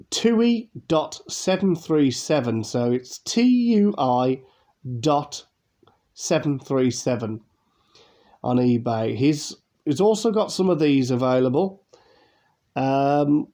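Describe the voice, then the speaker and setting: A man's voice: mid-range voice, warm tone. Nasal man with a cold. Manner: chatty, rambling, many fillers and restarts. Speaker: YouTube unboxing host. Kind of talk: casual unboxing commentary.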